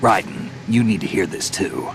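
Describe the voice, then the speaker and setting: A man's voice: low voice, expressive, normal speed, creaky, noisy background. An actor playing a seasoned male soldier in a video game cutscene, terse and matter-of-fact. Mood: brisk, tactical. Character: seasoned male soldier, gruff, calm, dependable. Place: recording studio. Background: game score music.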